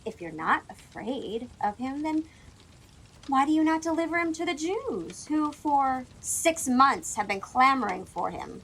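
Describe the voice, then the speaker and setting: A woman playing an imperious, impatient middle-aged queen in a classic audio drama, husky and creaky, with a disdainful, mocking delivery. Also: high-pitched, sing-song, a little slow, a bit bright, exaggerated voice, low-quality recording. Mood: mocking, scornful. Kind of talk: classic stage play performed as audio drama.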